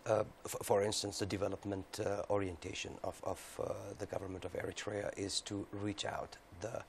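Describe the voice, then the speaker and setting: A middle-aged man puts formal questions as a TV interviewer in a fairly low, gravelly voice. He is halting and often repeats words as he builds a question. Faint noise sits behind him in the studio. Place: indoor TV studio. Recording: faint steady background noise.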